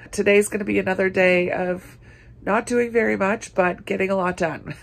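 Casual woman vlogger with a gravelly, husky voice. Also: fairly low pitch. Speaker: book vlogger, host. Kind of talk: casual weekend vlog chat to camera.

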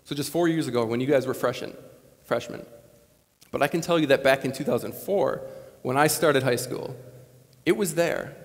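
A man's voice: mid-range voice, normal speed, long pauses. A man in his late twenties from Wisconsin giving an earnest, conversational commencement speech on a lapel mic. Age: late 20s. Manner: earnest, conversational, animated gestures. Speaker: commencement speaker. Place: large school gymnasium, on stage. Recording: long gym reverb.

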